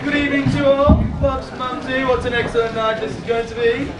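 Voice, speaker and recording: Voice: sits high, fairly even intonation, a little fast, close to an everyday voice. A performer playing a vain, pompous young prince in an amateur stage pantomime, declaiming in an echoey hall. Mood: breezy, self-satisfied. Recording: echoey hall, distant mic, very noisy audience.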